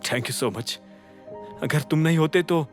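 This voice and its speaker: A man's voice: medium pitch, animated, moderate pace, close to an everyday voice. Male narrator as grieving young hero, guarded, subdued, earnest, drama dialogue, light music behind. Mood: tearful gratitude. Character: grieving, guarded young man seeking truth. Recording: music under the voice.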